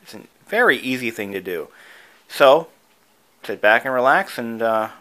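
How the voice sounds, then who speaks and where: A man's voice: medium pitch, lively intonation, moderate pace, fairly bright tone, low-quality recording. A man narrating a casual how-to video as its host, explaining things informally with asides. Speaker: video creator and host, antique radio hobbyist.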